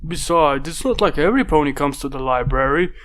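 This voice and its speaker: A chatty young baby dragon talks quickly with his mentor, as a man reading a fan story puts him on. The voice stays close to the reader's own and is recorded at home. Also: a little high, lively intonation, a bit bright, voice pushed down.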